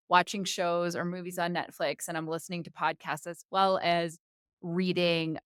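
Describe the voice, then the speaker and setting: A woman's voice: on the low side, a bit bright, clear, resonant tone, no echo, clean studio sound. A woman in her forties from the United States, whose first language is Tagalog, talking candidly and reflectively to camera about her own life. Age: 40s. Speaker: vlogger. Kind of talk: casual personal talk to camera.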